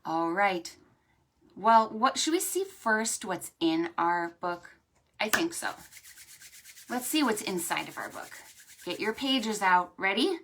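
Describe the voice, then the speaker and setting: Slow, animated woman hosting preschool storytime. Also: mid-range voice. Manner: animated, sing-song talk to small children. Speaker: children's librarian and storytime host. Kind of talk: preschool storytime with stretches and songs.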